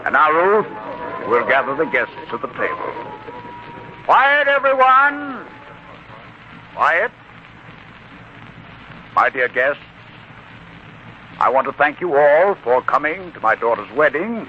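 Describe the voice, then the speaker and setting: A man's voice: fairly high pitch, sing-song, slow pace, pauses often, a little hiss or hum. Hearty, swooping father of the bride. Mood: proud, declaiming. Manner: declamatory, hearty, authoritative. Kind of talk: 1940s radio drama acting. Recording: muffled 1940s radio recording over music.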